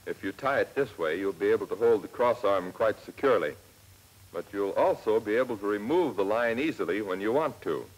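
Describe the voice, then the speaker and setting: An American man narrates a 1940s army training film with a lowish, rough-edged voice. He instructs step by step in a plain, measured way. The sound is that of an old film soundtrack. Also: expressive, low-quality recording. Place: scripted voice-over for film.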